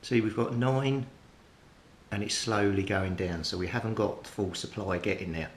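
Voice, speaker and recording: A man with a fairly low voice talks through a hands-on repair step by step. He thinks aloud in a methodical, unscripted way. The room is quite echoey, with clear rumbling noise behind him. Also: normal speed. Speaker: hobbyist radio repairer presenting his progress. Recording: roomy echo, clear rumbling background noise.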